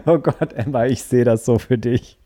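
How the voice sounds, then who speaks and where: Animated young man chatting on a podcast. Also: mid-range voice, normal speed, dry recording. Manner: chatty, animated, laughs easily. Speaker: podcast co-host. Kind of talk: casual chat.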